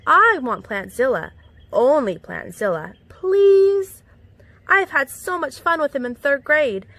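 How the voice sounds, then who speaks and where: A woman reading a children's picture book aloud in an expressive, animated storytelling manner with clear enunciation. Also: high-pitched, takes it slowly, dry recording. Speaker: storytime reader. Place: outdoors among plants.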